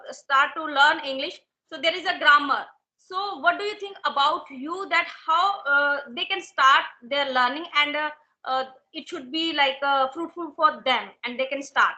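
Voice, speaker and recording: Woman host, very high bright voice, lots of uhs, polite. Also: rough recording. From Himachal Pradesh, India. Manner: polite, hesitant, with many "uh" fillers. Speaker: host of an English-learning channel. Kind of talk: interview conversation.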